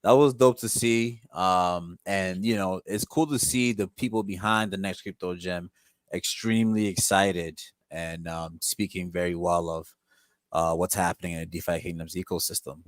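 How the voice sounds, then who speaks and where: A man from Ghana, a guest in a casual chat, speaking calmly and measured, with filler words. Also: low voice, no echo.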